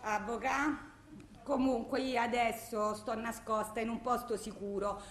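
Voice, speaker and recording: Woman acting a high-pitched, distraught Roman wife. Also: steady tone, measured pace, slight background noise, close to an everyday voice. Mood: desperate, pleading. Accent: Roman Italian. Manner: agitated, rambling, colloquial, self-justifying with emphatic repetitions. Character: distraught, volatile blind wife pleading with her lawyer. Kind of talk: theatrical radio monologue, framed as phone messages. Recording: roomy, echoing sound.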